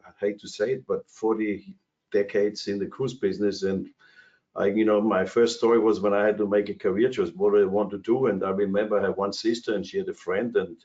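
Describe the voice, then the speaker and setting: An older man with an Austrian accent tells his career story on a video call. His voice sits low, and he rambles with plenty of fillers and restarts. The call audio is dull and lo-fi. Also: little pitch movement, brisk, a little mellow. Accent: Austrian German. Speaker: cruise industry executive. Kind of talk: webinar panel talk. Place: his office.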